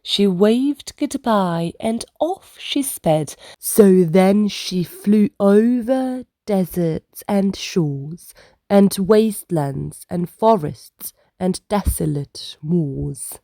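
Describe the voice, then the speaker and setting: A woman's voice: medium pitch, expressive, extremely slow, dark tone, no room sound, studio quality. A woman storyteller reading a rhyming children's book aloud as scripted narration.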